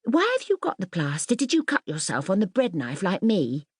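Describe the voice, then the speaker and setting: A woman's voice: medium pitch, wildly swooping pitch, moderate pace, breathy edge, no room sound, clean studio sound. A woman voicing an earnest, sensible, inquisitive young girl in a children's audiobook. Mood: curious. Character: curious, sensible young girl, questioning and wary.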